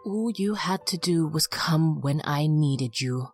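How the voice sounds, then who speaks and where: A woman's voice: deep voice, expressive, slow, a little mellow, faint music behind. A woman playing an ancient, bitter stone mage in an audio drama, accusing and commanding.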